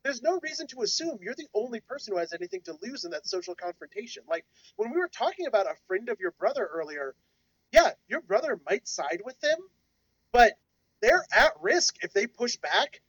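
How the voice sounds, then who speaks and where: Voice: medium pitch, big pitch swings, brisk, a bit bright, no echo. A podcast co-host in their thirties who talks in a joking, thoughtful way and gives advice. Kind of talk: casual discussion podcast. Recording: dull, dry lo-fi audio.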